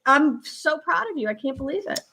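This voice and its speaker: A woman in her fifties co-hosting a casual chat, teasing, laughing often and quick to cut in. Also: high voice, expressive, crowd noise, rough recording. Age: 50s.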